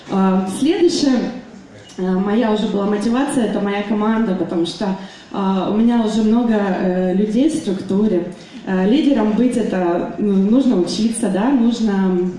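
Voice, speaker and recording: A woman's voice: mid-range voice, mellow, recorded from a distance, a little hiss or hum. Hesitant young woman speaking in echoey hall. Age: 20s. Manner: hesitant, many fillers and restarts. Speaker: business meeting speaker. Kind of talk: public speech at a meeting. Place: meeting hall.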